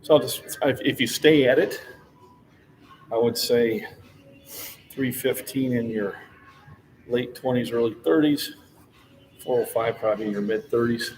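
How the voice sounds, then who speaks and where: A man's voice: medium pitch, a little slow, pauses often, darkish tone, slight background noise, poor audio. A man in his sixties hosting a casual fitness livestream, chatting with viewers in a friendly, encouraging coach's way. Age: 60s.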